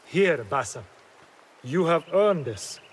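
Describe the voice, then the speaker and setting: An earnest, kindly mentor in a game cutscene. His voice sits a little high and he speaks encouragingly. Faint ambient noise surrounds it. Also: animated, slow. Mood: encouraging, proud. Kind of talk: video game cutscene acting.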